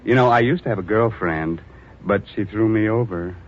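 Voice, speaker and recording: Casting: male actor as a middle-aged macabre radio host, unhurried and punning, low voice, vintage lo-fi broadcast over music. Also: lightly acted. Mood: teasing. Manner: punning, mock-sinister, chuckling. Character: wisecracking ghoulish male radio horror host. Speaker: horror anthology host. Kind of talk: 1940s radio acting.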